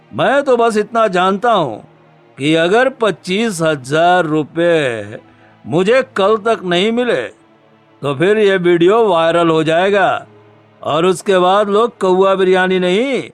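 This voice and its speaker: A man voicing a smug, scheming blackmailing neighbour in a cartoon story, with a taunting, theatrical manner. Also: high-pitched. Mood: menacing, gloating. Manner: taunting, drawn-out, theatrical menace. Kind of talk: Hindi cartoon story dubbing.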